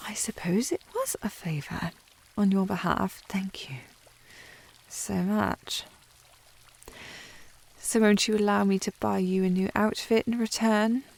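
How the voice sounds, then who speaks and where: A haughty young British noblewoman, acted by a woman for a historical romance audio roleplay. Her voice sits at a middle pitch with a marked creak. She speaks in prim, formal turns, coy and easily flustered, in an intimate ASMR style. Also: unhurried. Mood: stiffly grateful. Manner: prim, snooty, formal phrasing with coy indirectness.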